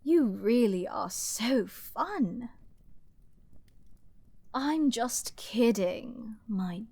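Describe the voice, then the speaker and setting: A woman playing an arrogant, flirty princess with a dark, mocking edge in a dramatic fantasy ASMR roleplay, teasing and often murmuring. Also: on the high side, lively intonation, dry recording, exaggerated voice. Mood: teasing, scheming. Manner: haughty, teasing, deliberate, often dropping to breathy murmurs.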